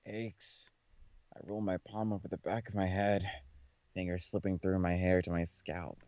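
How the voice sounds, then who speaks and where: A man with a fairly low voice reads game narration aloud in a casual playthrough style. The sound is close and dry, with a slightly dull, lo-fi microphone. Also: flat delivery, moderate pace, darkish tone, dry recording. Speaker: co-host playing and reading a visual novel aloud. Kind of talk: reading game text aloud with casual reactions.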